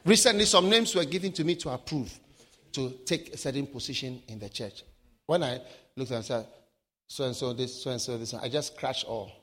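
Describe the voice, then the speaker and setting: A man from Africa preaching a sermon, swinging between shouted lines and low, quiet asides. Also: moderate pace, slightly rough. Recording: live sermon with long hall reverb.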